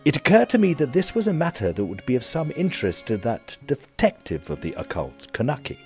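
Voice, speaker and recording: An actor with a British accent playing a peevish, smitten Edwardian gentleman ghost hunter, narrating formally in an audio drama. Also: medium pitch, wildly swooping pitch, fairly quick, faint music behind. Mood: earnest, formal. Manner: formal, stiffly proper, fussy.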